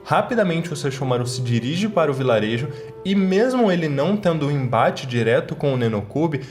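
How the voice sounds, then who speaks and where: A man with a Brazilian accent hosting an online video, giving explanatory fan commentary to viewers in a didactic, emphatic way. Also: fairly high pitch. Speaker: YouTube channel host.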